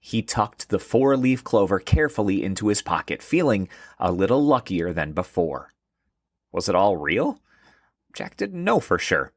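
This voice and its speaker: A man hosting and narrating a scripted children's story in an expressive storytelling manner. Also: lowish voice, moderate pace, dry recording, studio quality. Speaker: host and narrator.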